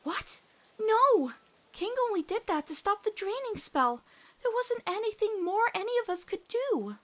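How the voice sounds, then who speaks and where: A woman voicing an earnest, self-doubting teenage girl with halting delivery in a dramatic comic dub. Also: very high-pitched, very animated, pitched above the performer's own voice, heavily acted. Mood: alarmed, defensive. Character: guilt-ridden, earnest, stubborn teenage human girl.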